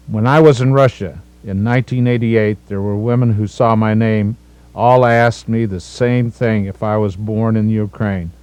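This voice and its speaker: A man's voice: on the low side, a little mellow, dry recording. A man in his sixties from the United States narrates his family's history over home movies, methodically listing names and correcting himself now and then.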